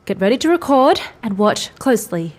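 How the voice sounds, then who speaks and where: A woman's voice: fairly high pitch, sing-song, moderate pace. A woman playing a secretive, scheming space traveler in a sci-fi audio drama. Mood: conspiratorial.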